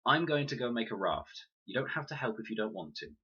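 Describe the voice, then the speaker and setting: A man's voice: medium pitch, animated, a little fast, sharp tone, breathy edge, dull audio, pitched above the performer's own voice, exaggerated voice. A man voicing a determined, practical and impatient young boy in a children's audiobook. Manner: firm, impatient.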